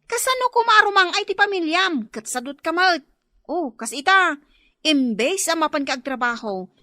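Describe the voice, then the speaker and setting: A woman's voice: very high voice, expressive, normal speed, pushed and bright, dry recording, clean studio sound, full character voice. A woman with an Ilocano accent playing a long-suffering young wife and mother in a radio drama. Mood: exasperated. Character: long-suffering poor young wife and mother, scolding her idle husband. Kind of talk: Filipino radio drama acting.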